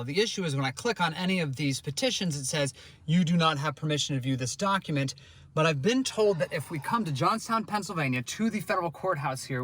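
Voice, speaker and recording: A male news reporter narrating an investigative report in a measured, earnest way. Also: on the high side, a bit bright.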